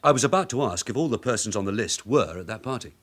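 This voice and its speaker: A shrewd veteran detective sergeant in a radio drama. His voice is low and moves freely, and he questions people politely, in a measured way, without letting go. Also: expressive. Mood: polite, probing. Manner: measured, deferential but probing questioning. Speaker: actor in a police drama. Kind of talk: naturalistic radio drama acting.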